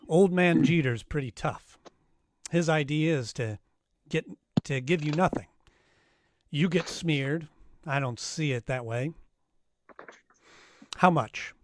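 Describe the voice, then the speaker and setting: A man's voice: fairly high pitch, slow pace, pauses often, a little hiss or hum. A man playing a wisecracking, dryly sardonic private eye in an old-time radio detective play. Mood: dry, bargaining. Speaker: actor in live radio play, also narrating.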